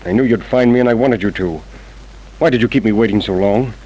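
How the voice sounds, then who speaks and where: An actor playing a calm, remorseful confessed murderer in a formal, matter-of-fact way, in old-time radio drama style. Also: male voice, fairly low pitch, a little fast, breathy edge, low-quality recording. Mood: resigned, composed. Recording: vintage radio broadcast recording.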